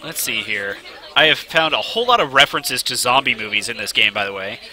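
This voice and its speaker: Excitable man streaming a game. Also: mid-range voice, fairly quick, some chatter behind, low-quality recording. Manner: excitable, talks to himself while playing. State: has a slight cold, sniffling. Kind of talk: live let's play commentary. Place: game studio event.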